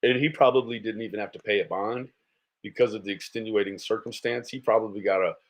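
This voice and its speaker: A man's voice: fairly low pitch, steady tone, fast talker. An American man hosting a casual livestream, giving blunt, mocking, sweary reaction commentary. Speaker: host of a livestream commentary show.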